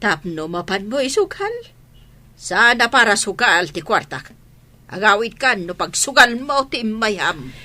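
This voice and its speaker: A stern, caring old grandmother in a radio drama. Her voice is bright and forceful, medium in pitch, with very lively intonation. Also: measured pace. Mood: scolding. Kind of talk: radio drama acting in Ilocano.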